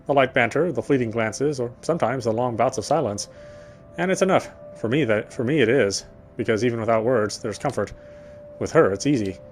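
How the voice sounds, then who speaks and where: An actor playing a guarded, dry-witted young man who cares quietly, speaking his inner thoughts and dialogue in a wry, introspective way. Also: mid-range voice, fairly quick, soft background music, natural voice. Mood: reflective. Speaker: game protagonist. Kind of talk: first-person inner monologue and dialogue.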